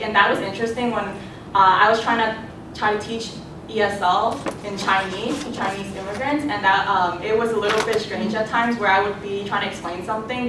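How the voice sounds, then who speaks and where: Young woman, native Cantonese speaker, student presentation, very echoey hall, distant mic with steady rumble. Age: young adult. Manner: conversational, with fillers and restarts. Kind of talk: presentation talk.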